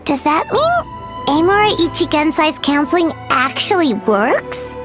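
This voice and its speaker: A tiny, childlike fairy sidekick from an anime game. The voice is squeaky and extremely high, swinging up and down with excitable, blunt energy. Music plays clearly underneath. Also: female voice, measured pace. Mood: puzzled. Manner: excitable, refers to self in third person. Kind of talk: anime-style video game acting.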